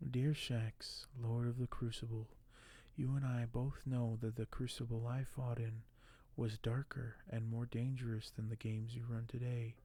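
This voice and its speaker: A man speaking softly and gently for an ASMR video, sometimes close to a whisper, with a halting delivery as host. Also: mid-range voice, little pitch movement. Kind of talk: soft-spoken ASMR, partly whispered; reading aloud and commenting on collectibles.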